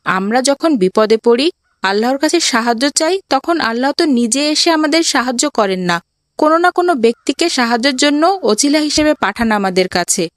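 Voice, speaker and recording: Woman as a high-voiced earnest cartoon boy. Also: expressive, brisk, no room sound, clean studio sound, close to an everyday voice. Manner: earnest, explains patiently. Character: pious, kind young boy who owns a robot. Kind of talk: children's cartoon voice acting.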